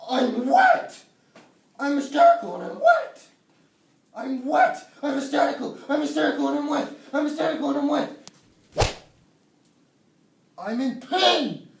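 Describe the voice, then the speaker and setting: A man's voice: high-pitched, sing-song, moderate pace. A man acting a pompous, defensive scientist lecturer who is prone to childish hysterics, in a theatrical, declamatory stage comedy style. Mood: hysterical. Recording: roomy, distant microphone, noticeable noise.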